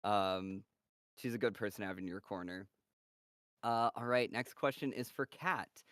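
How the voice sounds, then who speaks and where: Relaxed man hosting a fan Q&A. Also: fairly low pitch, normal speed, no room sound, studio quality. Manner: relaxed, filler-heavy, reads questions aloud. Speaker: host reading fan questions. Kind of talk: casual live-stream Q&A.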